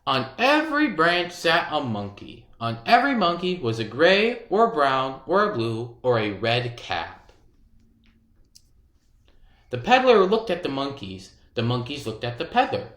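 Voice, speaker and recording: A storyteller reading a children's picture book aloud, expressively and deliberately, with dramatic emphasis. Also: medium pitch, big pitch swings, a bit bright, reverberant.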